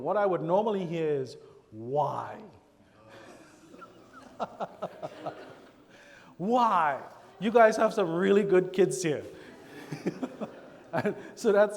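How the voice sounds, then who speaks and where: A Trinidadian man preaching in a church, his voice sitting high for a man. He is animated and slips into quoted voices of congregation members. The sound carries a long echo from the hall. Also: takes it slowly, long pauses. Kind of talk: sermon. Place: church sanctuary.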